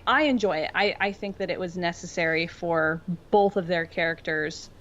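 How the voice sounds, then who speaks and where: Bit muffled lofi mic, woman w lowish voice, casual jokey podcast guest, nerdy fan talk. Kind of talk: casual pop-culture chat.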